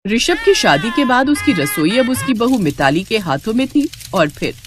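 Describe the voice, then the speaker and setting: A woman narrating a moral story in a measured, even storytelling voice. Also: on the high side, background noise.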